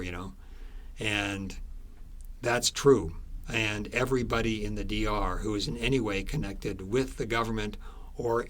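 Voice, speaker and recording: A man being interviewed as an author, explaining things conversationally in a halting, storytelling way with repeated phrases. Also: fairly low pitch, fairly bright tone. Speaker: author being interviewed about his book.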